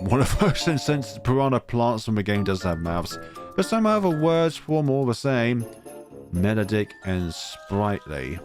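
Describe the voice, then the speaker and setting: A man hosting a gaming video, reading a visual novel aloud and adding his own asides. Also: mid-range voice. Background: music.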